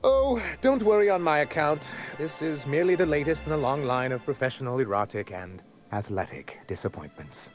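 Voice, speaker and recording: An actor playing a pompous, melodramatic corporate executive with florid, grandiose speech in a video game. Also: male voice, on the high side, animated, a little fast, hardly any pauses, soft background music, close to an everyday voice. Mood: wistful, self-pitying. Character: pompous, theatrical corporate executive man, easily wounded and melodramatic. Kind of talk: video game character acting.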